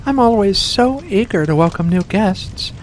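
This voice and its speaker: A performer voicing a mysterious, seductive vampire in a slow, deliberate ASMR roleplay, courteous and teasing. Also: medium pitch, expressive, lightly acted. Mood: courteous, luring. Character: seductive mysterious vampire host luring in a guest. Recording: faint background noise.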